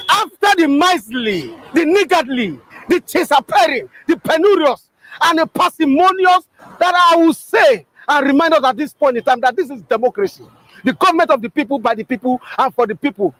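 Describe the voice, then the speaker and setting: A man's voice: very high voice, fairly bright tone, dry recording, rough recording. A man declaiming bombastic political oratory, stacking long obscure words with emphatic repetition.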